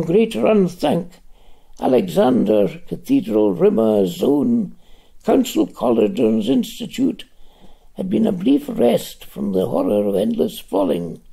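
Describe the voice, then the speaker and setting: An older man reading his own novel aloud at home, in a slow, dramatic literary reading. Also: gravelly, warm tone, slightly rough. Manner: slow, dramatic reading with wide swings of pitch.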